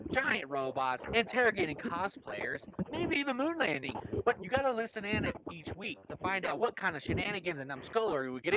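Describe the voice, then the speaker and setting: Over noisy audio comes a pushy telemarketer, put on by a man, pitched high and swinging lively through a comic promo. The delivery is persistent and salesy, like a scripted cold call. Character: pushy spam-call telemarketer named Billy, brisk pitchman. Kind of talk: scripted comedy promo skit. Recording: clearly noisy audio with clicks.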